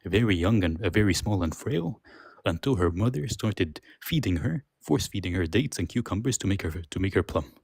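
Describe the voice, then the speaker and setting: Deep husky debater, native Arabic speaker. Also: male voice, animated, normal speed, no room sound. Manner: forceful, combative, talks over others. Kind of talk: heated live debate.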